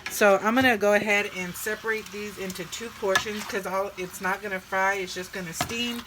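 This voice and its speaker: A woman's voice: medium pitch, steady tone, normal speed. Bawdy, joking woman cooking over a sizzling pan. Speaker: cooking vlogger. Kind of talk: casual cooking chat. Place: home kitchen with food frying. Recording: sizzling pan noise behind.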